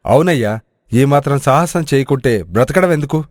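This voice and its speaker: A man voices a loyal, excitable adventurer from a village in an animated folk tale. The pitch is middling and the delivery dramatic. The recording is dry. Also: moderate pace, dry recording, lightly acted. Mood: bold. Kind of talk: animated moral story dubbing.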